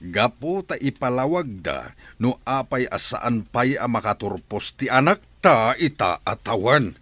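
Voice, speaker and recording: A man's voice: mid-range voice, sing-song, unhurried, a bit bright, dry recording. A man playing a stern, commanding middle-aged father in an audio drama.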